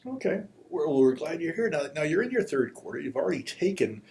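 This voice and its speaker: A man's voice: fairly high pitch, big pitch swings, fairly quick, creaky, darkish tone, slight background noise. A man interviewing a student in a friendly, joking way, often restarting his sentences.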